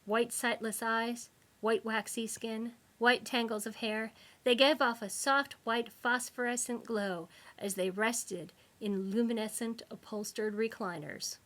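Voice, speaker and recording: Bright-voiced woman narrating comic sci-fi. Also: fairly high pitch, steady tone, measured pace. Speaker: author reading own book. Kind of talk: scripted narration of humorous sci-fi novel.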